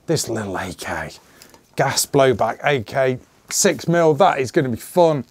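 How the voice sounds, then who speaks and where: Middle-aged host, slightly hoarse, chatty and rambling, dry close mic. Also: male voice, medium pitch, big pitch swings, a little slow, dry recording. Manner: chatty, rambling, jokey asides. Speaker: channel host. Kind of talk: casual to-camera channel update. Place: small indoor gun room.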